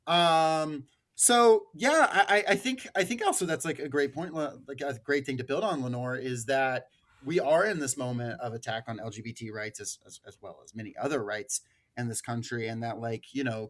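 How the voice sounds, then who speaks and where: A man hosts a casual podcast panel. His voice sits at a middling pitch, and he talks informally with the others as he steers the conversation. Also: moderate pace, a bit bright. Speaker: podcast host.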